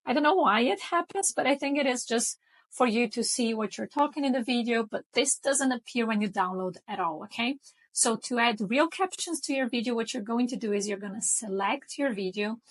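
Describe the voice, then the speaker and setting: Bright-voiced woman talking through a tutorial. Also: a little high, low-quality recording. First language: Portuguese. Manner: chatty, explains step by step with frequent "okay?" checks. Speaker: tutorial host. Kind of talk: screen-recording software tutorial.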